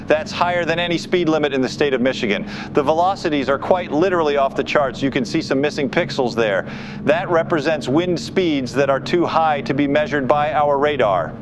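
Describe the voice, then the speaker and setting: A man's voice: on the high side, fairly quick. A man giving urgent live tornado coverage as a weather forecaster, grave, insistent and speaking off the cuff. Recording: dull muffled audio with faint noise.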